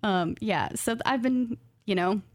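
A woman speaking as a guest writer in a casual interview, thoughtful and self-deprecating, quick to laugh. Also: medium pitch, dry recording, clean studio sound. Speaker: guest writer, graduate student of creative nonfiction.

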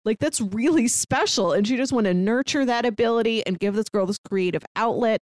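A woman's voice: on the high side, a little fast, a little mellow, no echo, clean studio sound. A woman co-hosting a podcast, casually discussing films and acting out lines as she retells. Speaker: podcast co-host.

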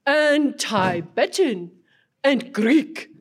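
A woman's voice: high-pitched, expressive, drawn-out words, heavily acted. A woman voicing a friendly boy puppet who patiently questions and advises a pal in a children's church show. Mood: patient, teaching.

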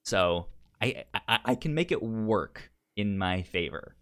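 A man co-hosting a casual film discussion, speaking haltingly and often repeating syllables and words. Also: on the low side, lively intonation, a bit bright. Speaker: podcast co-host.

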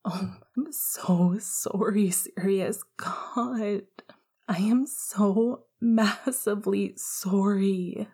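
A woman's voice: mid-range voice, unhurried, breathy edge. A woman whispering as a remorseful tearful young man. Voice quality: soft whispery delivery.

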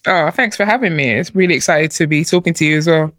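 Lively woman telling her story. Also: low-pitched, quick pace, no room sound, clean studio sound. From born in Nigeria, raised in London. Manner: lively storyteller, laughs often. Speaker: guest, chief executive. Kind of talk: casual podcast interview.

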